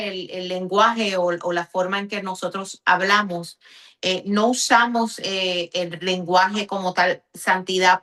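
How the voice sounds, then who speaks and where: A woman's voice: mid-range voice, sharp tone. A woman with a Puerto Rican accent, a pastor teaching conversationally in an interview, explaining with emphatic repetition and checking that the listener follows. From Puerto Rico.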